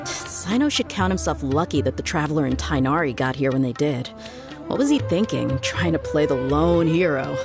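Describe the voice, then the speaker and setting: Low-voiced, breezy tough woman. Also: lively intonation, normal speed. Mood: exasperated. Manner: casual, plain-spoken, easygoing. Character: breezy, tough, loyal female comrade. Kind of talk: scripted video game dialogue. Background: background music.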